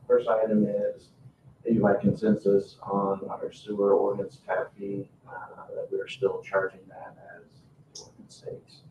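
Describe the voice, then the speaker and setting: A man presenting agenda items at a public meeting in a halting, matter-of-fact way. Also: fairly low pitch, creaky, dark tone. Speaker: town staff member presenting agenda items. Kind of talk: formal meeting report. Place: indoor public meeting room. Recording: echoey room, distant mic, dull, noisy.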